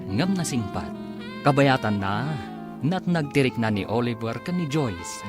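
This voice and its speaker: Radio drama narrator, Ilocano accent, expressive dramatic reading, clear music bed. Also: medium pitch. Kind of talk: dramatic scripted recap narration.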